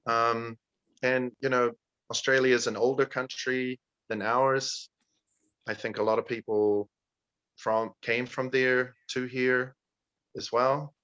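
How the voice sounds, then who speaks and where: A man's voice: medium pitch, level tone, slow pace, fairly bright tone, clear, resonant tone, muffled recording. A man from New Zealand whose accent mixes New Zealand with North American, chatting casually as he answers questions as a guest. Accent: New Zealand with North American touches. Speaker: native English speaker guest answering students' questions. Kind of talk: casual informal question-and-answer on a video call.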